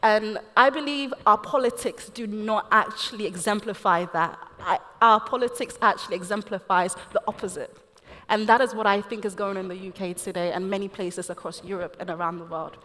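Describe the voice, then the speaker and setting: Panelist: woman, mid pitch, earnest and passionate, hall reverb. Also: brisk, a bit bright. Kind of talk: panel discussion. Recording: echoey hall.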